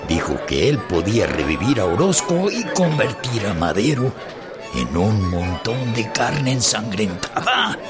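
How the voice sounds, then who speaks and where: Radio drama: secretive, gossiping Mexican crony. Lowish voice, lively swings, unhurried. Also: male voice, over music. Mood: conspiratorial.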